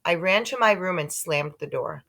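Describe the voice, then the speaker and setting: A woman narrating a children's book aloud in an expressive storytelling style. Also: lowish voice, normal speed, vocal fry. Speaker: storybook reader.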